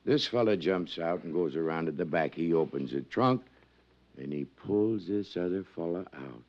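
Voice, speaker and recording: Gravelly, low-voiced dockside news vendor. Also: male voice, lively intonation. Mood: rambling, pious. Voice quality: gravelly creaky voice. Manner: rambling, evasive, slow to answer. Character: vague, pious, stubborn dockside newspaper seller. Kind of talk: 1950s radio drama acting. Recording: dull old radio recording.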